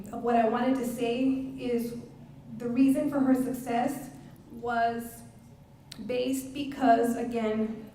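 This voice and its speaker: A woman's voice: on the high side, gravelly, darkish tone. Echoey, middle-aged woman giving a speech. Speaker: parent and educator giving a testimonial speech. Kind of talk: public speech at a press conference. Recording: very echoey room, distant mic, faint noise.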